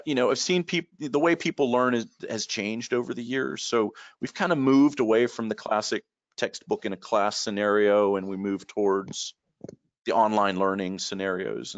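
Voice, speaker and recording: A middle-aged man, a trainer telling stories in a relaxed, rambling way, repeating words and using many fillers, heard over a video call from a room at home. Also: on the low side, no echo. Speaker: guest expert and trainer. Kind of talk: casual storytelling in a video call. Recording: dull lo-fi call audio.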